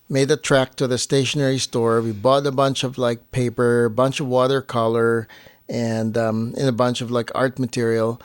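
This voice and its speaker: Man chatting casually, halting, close dry sound. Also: medium pitch, flat delivery, clean tone, no echo. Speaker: co-host and filmmaker. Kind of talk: casual explanatory chat.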